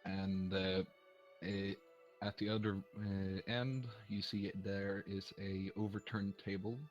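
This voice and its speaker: A man's voice: fairly low pitch, dull audio. A man acting as game master, narrating a tabletop game with many ums and uhs. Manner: hesitant, lots of ums and uhs.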